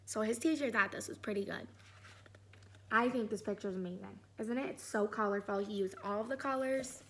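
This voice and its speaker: A woman's voice: medium pitch, brisk, fairly bright tone. A woman reading a picture book aloud, chatting encouragingly and putting questions to young listeners. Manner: encouraging, often checks in with "right?".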